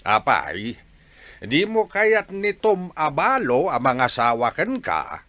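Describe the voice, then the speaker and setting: A man playing a scheming, insistent father in a radio drama, with deliberate, emphatic delivery. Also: mid-range voice, huge pitch swings, a bit bright, no echo, full character voice. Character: scheming, insistent father pushing his grown child.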